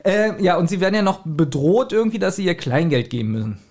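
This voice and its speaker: A man's voice: high voice, lively intonation, fast, noisy background. A male podcast host chatting casually and jokingly as he retells and reviews a story. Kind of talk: casual chat, retelling and reviewing an audio drama.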